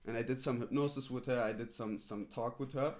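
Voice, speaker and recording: A man's voice: mid-range voice, steady tone, a little fast, a little mellow. Rambling streamer man. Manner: rambling, filler-heavy, repeats "right?" and "literally". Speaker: streamer coaching a viewer. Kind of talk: casual live-stream chat.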